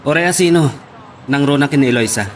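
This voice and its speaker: A man's voice: on the high side, brisk, slight background noise, lightly acted. A man playing a stern young man giving strict orders in an Ilocano radio drama. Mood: instructing. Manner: commanding, strict.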